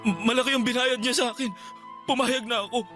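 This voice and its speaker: An actor playing a guilt-ridden young lover in a Tagalog radio drama, confessing haltingly and emotionally to his girlfriend over background music. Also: extremely high pitch, steady tone. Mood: ashamed. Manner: halting, stammering, emotional delivery. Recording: dramatic music bed behind voice. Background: loud background music.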